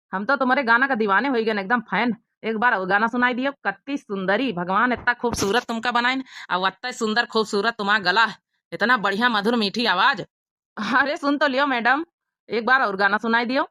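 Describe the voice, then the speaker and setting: A woman's voice: medium pitch, bright, forceful tone, dry recording, close to an everyday voice. A woman voicing an eager, lovestruck young village bachelor with an Awadhi accent in a comedy cartoon.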